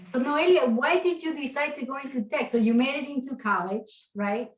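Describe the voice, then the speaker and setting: Higher-voiced woman host in an echoey room. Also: fairly quick. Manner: warm, encouraging, affirming. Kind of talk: casual live-stream interview. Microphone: video call.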